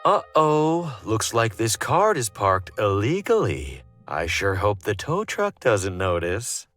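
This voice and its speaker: A sly, bitter schemer in an adventure game, muttering theatrically to himself. The voice is mid-pitched, bright and slow, swooping dramatically with faint music behind. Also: male voice, sing-song, heavily acted. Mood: wry, scheming. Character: scheming embittered male would-be novelist in stage melodrama. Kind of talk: point-and-click game character monologue.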